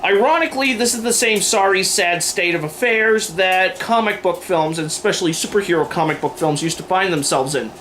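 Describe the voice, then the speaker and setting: A man with a notably high voice hosts an opinion video. He delivers scripted commentary with sarcasm and firm emphasis. There is faint room noise behind him. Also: fairly quick, hardly any pauses, a bit bright. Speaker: video host. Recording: noisy room recording.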